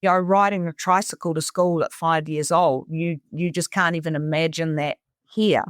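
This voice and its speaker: A woman's voice: deep voice, fairly even intonation, moderate pace, no echo, studio quality. A middle-aged woman with a New Zealand accent, telling her own story conversationally as an interview guest. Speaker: interview guest, cabin resort owner.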